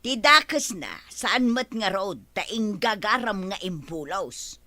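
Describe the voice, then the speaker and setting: A woman with an Ilocano accent playing a sharp-tongued, complaining village woman in a radio comedy drama. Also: medium pitch, lively intonation, slow pace. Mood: reproachful.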